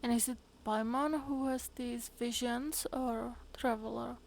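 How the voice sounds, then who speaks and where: A woman's voice: on the high side, steady tone, a little slow, creaky, darkish tone, a little hiss or hum. A woman giving casual live reactions to a game, wondering aloud in short, hesitant phrases. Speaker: game streamer.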